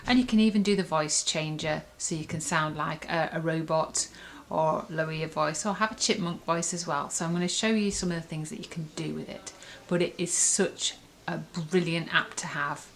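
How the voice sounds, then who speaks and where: A woman's voice: low voice, normal speed, slight background noise. A woman reviewer in a casual, chatty and enthusiastic demonstration, rambling along as she explains. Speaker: app reviewer.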